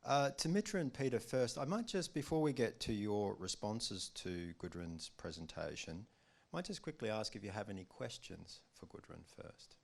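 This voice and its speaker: Man moderating, very deep voice. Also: animated, moderate pace, creaky. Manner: upbeat, polite, says he has a loud voice. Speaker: moderator, professor. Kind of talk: conference moderating.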